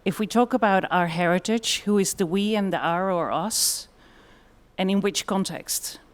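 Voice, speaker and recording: A woman's voice: medium pitch, normal speed. A woman with a Dutch accent giving a conference presentation in a thoughtful way, with frequent fillers. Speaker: conference speaker.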